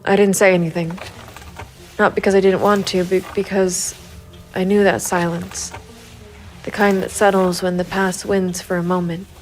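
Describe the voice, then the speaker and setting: An actress playing a hard-boiled, brooding and quietly determined female private investigator in a gritty noir audio drama. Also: on the low side, flat delivery, moderate pace, faint music behind, natural voice, rough recording. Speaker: voice actor narrating and playing the lead of an audio drama. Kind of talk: gritty noir audio drama acting and first-person narration.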